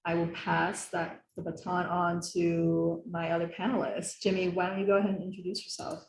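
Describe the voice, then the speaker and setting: A woman's voice: fairly low pitch, level tone, normal speed, reverberant, slight background noise, poor audio. A young woman from the Philadelphia area hosting an online workshop on a video call, talking casually with many fillers. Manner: casual, friendly, with frequent fillers. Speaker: host.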